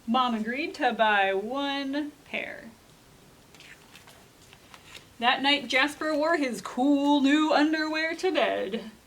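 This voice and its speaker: Bright, expressive woman reading a picture book. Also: high voice, a little hiss or hum. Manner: expressive, suspenseful, with drawn-out emphatic words. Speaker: storytime reader.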